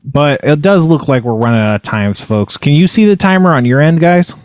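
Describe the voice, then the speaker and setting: A man's voice: fairly high pitch, animated, fairly quick, a little mellow, no echo, studio quality. Joking man hosting a podcast. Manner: joking, teasing, laughs often. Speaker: podcast host. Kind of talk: casual chat wrapping up a podcast.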